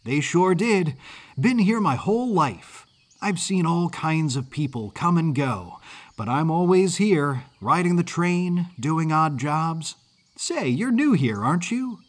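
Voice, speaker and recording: A man's voice: on the high side, very animated, takes it slowly, voice pushed up, exaggerated voice. A man voicing an exuberant orphan circus boy in an audiobook story, talking in an eager, folksy way with a mid-Atlantic American accent. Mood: eager, curious.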